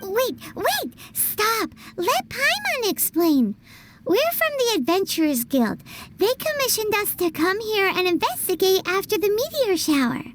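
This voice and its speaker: A tiny game fairy with an extremely high, squeaky, childlike voice. She is chatty and blunt, swinging around in pitch as she takes her unhurried time. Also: big pitch swings, background noise, full character voice. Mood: urgent. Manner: blunt, refers to self in third person. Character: tiny chatty excitable fairy-like girl companion. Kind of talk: anime video game voice acting.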